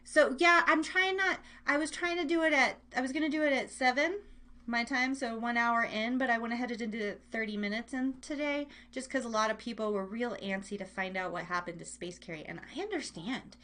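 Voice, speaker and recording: A woman's voice: a little high, a little fast, sharp tone. A woman hosting a live online radio show in casual chat, playful and rambling, often interrupting herself as she talks straight to listeners. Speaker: live radio and podcast host.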